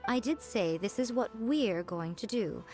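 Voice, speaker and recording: A woman's voice: mid-range voice, animated, unhurried, darkish tone, soft background music. A woman voicing a sly, self-assured fox-spirit shrine maiden in an anime game, poised, teasing and commanding. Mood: smug, commanding.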